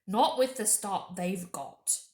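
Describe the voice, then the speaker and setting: A woman's voice: medium pitch, expressive, slow pace, mellow, echoey room. A woman voicing a surly male dwarf servant in a storybook, grumbling and growling grudgingly. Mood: surly protesting. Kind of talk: storybook character voice in read-aloud.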